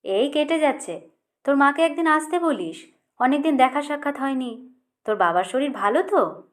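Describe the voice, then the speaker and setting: Woman putting on a middle-aged auntie, storybook, high voice, chatty, nosy, gushing, over-familiar. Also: expressive, normal speed. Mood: friendly, chatty.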